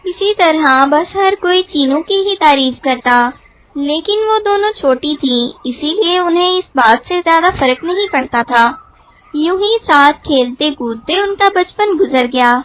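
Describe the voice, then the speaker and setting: A woman's voice: high voice, a bit bright, low-quality recording. A woman narrator telling a children's cartoon story.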